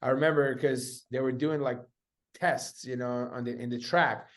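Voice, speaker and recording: A man's voice: mid-range voice, fairly even intonation, normal speed. A young man from Spain chatting casually as an interview guest, telling personal stories in slangy, sometimes profane language. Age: young adult. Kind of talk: casual chat, personal storytelling.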